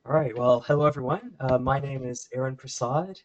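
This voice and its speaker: A man's voice: mid-range voice, fairly quick, highs cut off. A man from Trinidad and Tobago who grew up in Canada, an assistant professor giving a friendly, chatty self-introduction on a video call. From Trinidad and Tobago, raised in Canada. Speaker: assistant professor, webinar panelist.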